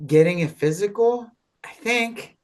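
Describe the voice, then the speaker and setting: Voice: medium pitch, unhurried, a little mellow, lightly acted. An actor in an audio play voicing a dazed, disheveled man who speaks hesitantly and vaguely. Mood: confused.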